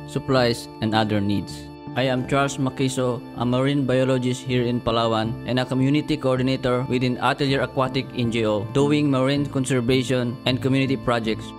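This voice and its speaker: A Filipino man whose first language is Tagalog, a marine biologist giving a calm, measured documentary voice-over. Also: medium pitch. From Philippines. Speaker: marine biologist and community coordinator. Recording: voice-over with music underneath.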